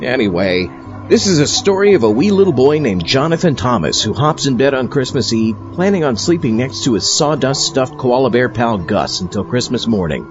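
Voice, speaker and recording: A man hosting an audio show with scripted comic narration. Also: medium pitch. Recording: dull sound under clear music.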